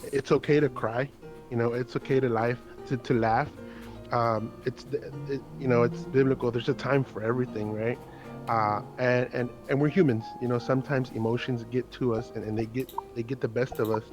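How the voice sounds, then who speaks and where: A man hosting a heartfelt conversation, speaking earnestly and haltingly, with many restarts and repeated words. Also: mid-range voice, steady tone, over music.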